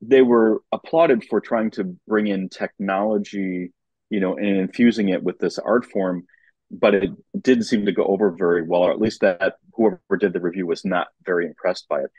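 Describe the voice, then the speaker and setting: Low, slightly husky man chatting. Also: steady tone, moderate pace, darkish tone, no room sound, highs cut off. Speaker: podcast guest, opera commentator. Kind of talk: casual chat.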